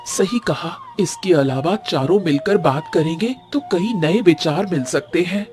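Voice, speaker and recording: A woman's voice: fairly low pitch, unhurried, close to an everyday voice. A woman playing a courteous, sensible farmer's wife in a cartoon moral story, speaking politely. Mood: considerate. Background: faint music.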